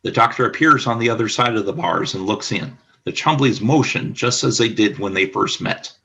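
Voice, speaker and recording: A man narrating a scripted radio play as announcer, in a formal, deliberate storytelling manner. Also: lowish voice, vocal fry, bright, forceful tone.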